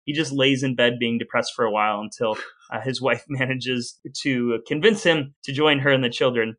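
A man's voice: mid-range voice, steady tone, moderate pace, fairly bright tone. A man co-hosting a podcast in a casual, conversational, wry way, sometimes reading aloud. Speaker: podcast co-host.

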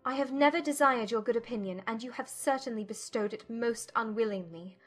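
Casting: woman as indignant young period gentlewoman, fairly high voice, formal and coolly civil. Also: soft background music, close to an everyday voice. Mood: cold, controlled. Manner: formal, measured period diction. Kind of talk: dramatized audiobook acting.

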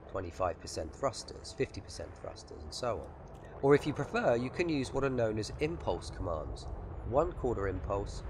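A man giving scripted instructional narration as a tutorial narrator for a game voice pack. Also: on the low side. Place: voice-over with music behind.

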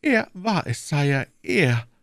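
A man's voice: fairly high pitch, very animated, slow pace, fairly bright tone, dry recording, studio quality, voice pushed up, exaggerated voice. A man voicing a frightened young queen who pleads and stammers in an audiobook reading. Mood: pleading. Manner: trembling, stammering pleas.

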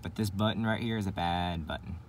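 A man's voice: low voice, fairly quick. A man giving a casual hands-on walkthrough in a rambling, hesitant way, often repeating his phrases. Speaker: vlogger showing a CB radio setup in a truck. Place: in and around a parked truck. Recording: noisy handheld recording with vehicle ambience.

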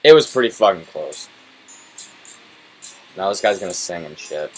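A man's voice: low voice, lively intonation, normal speed, slight background noise, poor audio. A young man casually commenting on a game he is playing, blunt and full of swearing. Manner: blunt, profane. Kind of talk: casual let's play banter.

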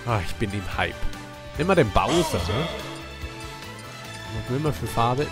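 A young man with a cold, casually commentating a video game he is playing, jumping between excited outbursts and quiet mumbling. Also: measured pace. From Austria. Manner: excitable, swings between hyped shouts and low mumbling. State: congested from a cold. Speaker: gaming channel host. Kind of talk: casual let's play commentary. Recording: game music playing behind.

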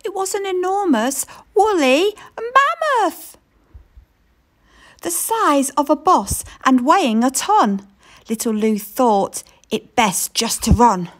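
Slow, lively woman reading a storybook. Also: high-pitched. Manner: expressive storytelling, slow and lilting. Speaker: picture book reader. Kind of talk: reading a picture book aloud.

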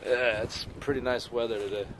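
A man's voice: medium pitch, little pitch movement, brisk. A man in his thirties chatting casually to a phone held at arm's length outdoors, in an easygoing, folksy way. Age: 30s. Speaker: vlogger. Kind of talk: casual vlog chat. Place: outdoors on a waterfront pier and marina. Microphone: handheld phone at arm's length. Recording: noisy outdoor phone audio, wind and water.

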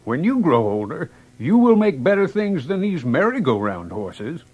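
A man playing a kindly, gentle, wise old woodcarver with a husky voice in a children's story drama. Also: fairly high pitch, sing-song, moderate pace, dry recording, muffled recording. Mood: warm, encouraging.

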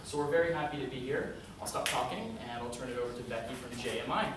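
A young man rattles through his opening remarks at a quick clip, upbeat and leaning on fillers. He is picked up from far across a classroom, with heavy echo and a steady hiss. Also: medium pitch. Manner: brisk, upbeat, filler-laden. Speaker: outreach officer. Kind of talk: introductory remarks. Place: university classroom. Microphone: far camera.

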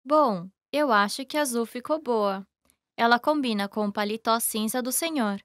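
A woman reading scripted lesson dialogue in a careful, even way. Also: fairly high pitch, normal speed, no echo, clean studio sound. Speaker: language lesson voice actor.

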